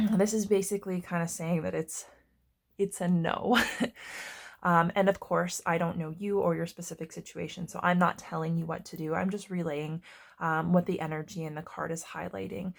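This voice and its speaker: A woman giving a casual tarot reading to her viewers, congested and hoarse, chatty and often stopping to search for words. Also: fairly low pitch, fairly even intonation, moderate pace. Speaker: tarot reader.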